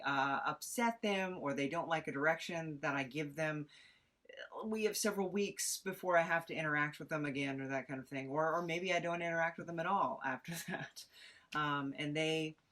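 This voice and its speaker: A woman talking casually as a podcast director, in a rambling, halting, unscripted way. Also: low voice, a bit bright.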